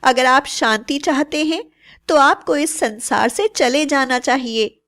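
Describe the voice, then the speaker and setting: A woman's voice: high-pitched, measured pace, fairly bright tone. A woman playing a devout, fearless young bride in a cartoon fairy tale, speaking in an earnest, declamatory way. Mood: accusing.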